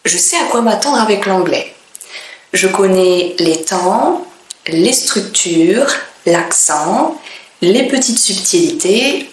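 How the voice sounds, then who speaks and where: A woman from France, a French teacher, speaking in a clear, deliberate way with pauses between phrases. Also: medium pitch, expressive, echoey room, a little hiss or hum. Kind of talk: slow, careful teaching monologue.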